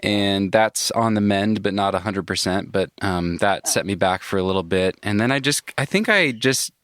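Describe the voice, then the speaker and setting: A man's voice: on the low side, normal speed, no echo, studio quality. A man hosting a podcast, talking casually and laughing often, a little stuffy from a head cold. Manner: relaxed, laughs often, restarts sentences. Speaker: podcast host. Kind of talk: casual podcast chat and ad read. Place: inside a van.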